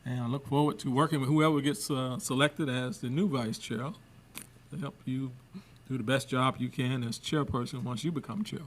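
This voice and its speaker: Halting man chairing a council. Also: mid-range voice, steady tone, slight background noise. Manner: halting, many uhs. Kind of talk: plain self-introduction.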